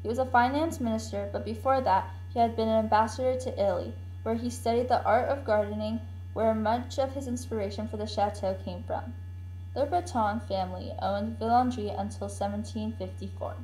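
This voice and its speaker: A woman's voice: on the high side, fairly even intonation, brisk, darkish tone, close to an everyday voice, low-quality recording. A woman playing an enthusiastic child tourist telling of a family trip in a scripted puppet show. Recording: faint hum and noise.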